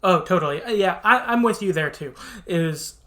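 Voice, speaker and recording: Casual man co-hosting a podcast, halting phrasing. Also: sits high, lively intonation, a bit bright. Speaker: podcast co-host. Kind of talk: casual video game discussion.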